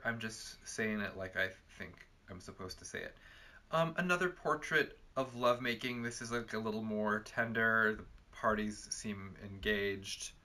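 Bright-voiced young man chatting. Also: mid-range voice. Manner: chatty, lots of fillers, animated. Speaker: perfume reviewer, co-host. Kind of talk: casual chat reviewing perfumes. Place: cluttered indoor room with bookshelves.

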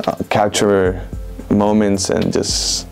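A man in his twenties from Venezuela talks through his answers indoors. His voice sits low and stays quite flat. He rambles casually, filling pauses with "like" and "um", and soft music plays underneath. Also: creaky. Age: 20s. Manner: relaxed, rambling with many fillers like "like" and "um". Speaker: interviewed street photographer. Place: indoor room with bookshelves.

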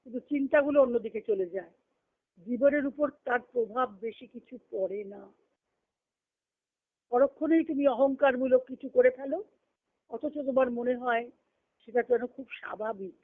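Phone-quality audio. Female spiritual teacher, didactic delivery, reads aloud and explains with emphatic repetition. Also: fairly high pitch. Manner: didactic, repeats phrases for emphasis. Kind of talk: devotional teaching, reading a text aloud and explaining it. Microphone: conference call line. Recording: muffled, narrow phone-like conference call.

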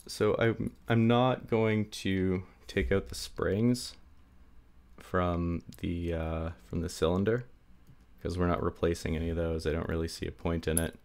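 Low-pitched male hobbyist, unhurried, stuffy nose, rambling tabletop demo. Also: fairly even intonation, darkish tone, smooth. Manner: rambling, self-deprecating, many fillers. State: stuffy, getting sick. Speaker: hobby lockpicker demonstrating. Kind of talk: casual hands-on tutorial. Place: indoors at a table.

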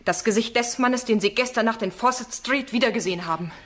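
A woman's voice: on the high side, quick pace, a bit bright. An actress playing a bold, insistent female defence barrister in a German crime radio play. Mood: pressing, accusing. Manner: pointed, insistent cross-examining.

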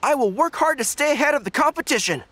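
A voice actor playing an earnest, dutiful young dog-eared general in a video game, formal in manner. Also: male voice, extremely high pitch, lively intonation, fast, sharp tone, no echo, exaggerated voice.

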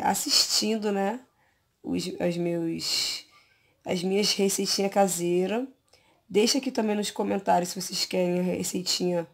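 A Brazilian woman in her thirties, hoarse from an allergy, chatting casually to her viewers from her bedroom with a phone camera close to her face. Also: medium pitch. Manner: chatty, hesitant, many fillers. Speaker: beauty vlogger. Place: bedroom at home, lying on pillows.

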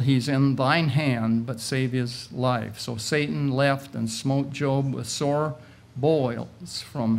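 Man in his 60s, unhurried sermon delivery, creaky voice, halting phrases, some background noise. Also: mid-range voice. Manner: measured, halting delivery in short phrases with pauses. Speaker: preacher. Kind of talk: sermon retelling a Bible story. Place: indoor meeting room, at a lectern. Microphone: lectern gooseneck microphone.

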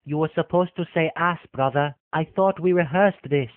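A man's voice: fairly high pitch, moderate pace, dry recording, pitched above the performer's own voice, natural voice. A man voicing a pompous, silly villain brother who speaks in theatrical singsong rhyme in an anime parody. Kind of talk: scripted anime parody dub. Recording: muffled phone-like lo-fi audio.

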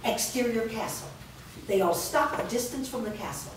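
A woman narrating stage directions in a staged script reading, reading them clearly and evenly. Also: a little high, normal speed. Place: large indoor studio room. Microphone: far camera. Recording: echoey room from far off, noisy background.

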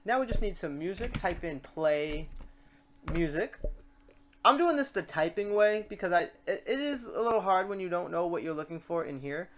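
A tutorial presenter talking informally through software steps and thinking aloud while working. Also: medium pitch, lively intonation, moderate pace, slight background noise. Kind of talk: casual screen-recorded software tutorial.

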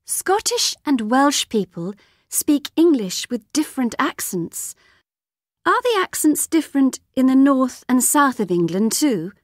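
A woman narrating English textbook audio, reading exercise numbers and questions in a measured, careful way. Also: sits high, very animated, no room sound, clean studio sound. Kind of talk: scripted exercise announcements.

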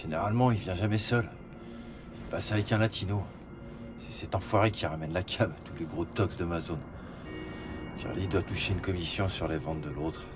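An actor playing a defiant young street kid turned reluctant informant in a French audio drama, sullen, defensive and slangy. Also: male voice, low-pitched, moderate pace, natural voice. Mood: grudging. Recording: music bed behind the voice.

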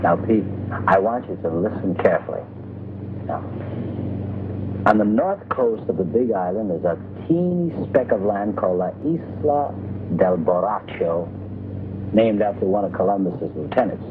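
Guy acting scheming gangster boat captain, low voice, patiently explaining, noisy old movie sound. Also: animated, phone-quality sound, lightly acted. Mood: conspiratorial, deliberate. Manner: deliberate, instructing. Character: scheming gangster skipper, patiently laying out a plan. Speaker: actor. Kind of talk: 1960s film comedy acting.

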